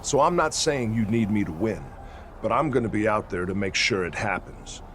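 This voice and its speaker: A man's voice: lowish voice, animated, moderate pace, fairly bright tone, slight background noise. A man playing a stern, commanding veteran wrestler who leads a faction, blunt and authoritative, in a video game cutscene. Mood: firm. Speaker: wrestler, leader of a stable.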